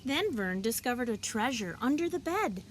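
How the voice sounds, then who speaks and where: A woman reading a children's picture book aloud, expressively and deliberately, as a teacher would for young listeners. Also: fairly high pitch, big pitch swings, slight background noise. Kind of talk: children's storybook read-aloud.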